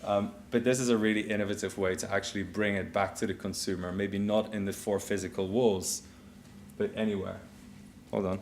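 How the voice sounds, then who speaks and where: A man with a Dutch accent giving a conference keynote in a relaxed, conversational way while walking through a live demo. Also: on the low side, brisk, a little hiss or hum. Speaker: keynote speaker. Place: conference stage.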